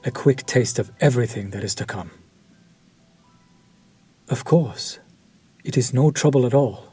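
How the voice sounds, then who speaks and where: A man voicing a suave, courteous vampire chef who speaks formally and softly, sometimes near a whisper. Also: mid-range voice, breathy edge, soft background music. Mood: savoring. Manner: slow, formal and soft-spoken, dropping to near-whispers. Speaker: voice actor. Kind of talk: audio roleplay to the listener.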